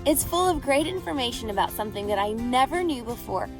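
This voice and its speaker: A woman reading a picture book aloud as a teacher to children, expressive and carefully phrased, pausing to put questions to her listeners. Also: a little high, over music.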